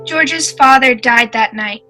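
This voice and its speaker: A woman's voice: high-pitched, takes it slowly, sharp tone, faint music behind. A woman giving scripted narration in a radio play.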